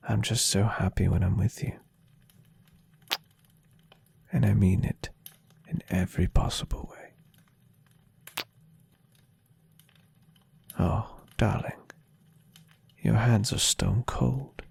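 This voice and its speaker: A man voicing a tender, adoring and playfully teasing centuries-old vampire boyfriend in an intimate ASMR roleplay, speaking in a soft, husky half-whisper. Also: lowish voice, full character voice. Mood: tender, happy. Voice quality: breathy, husky half-whisper with gravelly creak. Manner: soft, soothing, affectionate murmuring.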